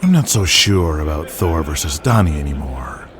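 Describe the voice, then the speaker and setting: A man playing a cool, calculating male wrestling boss in an audio drama, measured and deliberate. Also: very deep voice, very animated, a little hiss or hum, voice pushed down. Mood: doubtful.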